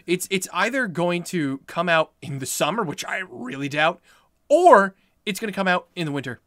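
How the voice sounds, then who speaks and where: A young man with a light tenor voice chatting casually to his live-stream viewers while playing, answering questions and reacting with excited outbursts. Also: sits high, normal speed. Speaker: let's play streamer. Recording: game soundtrack loud underneath. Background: loud game music.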